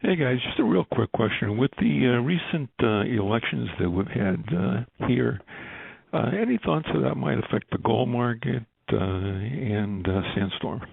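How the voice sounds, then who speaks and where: A man's voice: mid-range voice, lively intonation, unhurried, creaky, husky, no echo. A man calling in as an analyst, asking a casual question in a hesitant manner. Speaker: analyst caller. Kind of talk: casual question on earnings call. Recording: dry, thin phone line.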